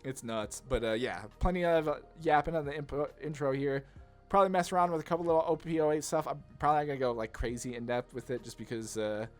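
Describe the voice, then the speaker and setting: A man's voice: a little high, quick pace. A man giving casual, rambling commentary on a card game, thinking aloud in slangy, informal speech. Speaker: gaming content creator. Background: background music.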